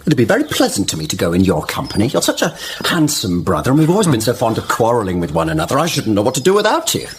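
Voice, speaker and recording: A man's voice: on the low side, wildly swooping pitch, fast, hardly any pauses, a little hiss or hum. An actor playing a sly, idle squire's son, taunting his brother with mock affection in a period radio drama.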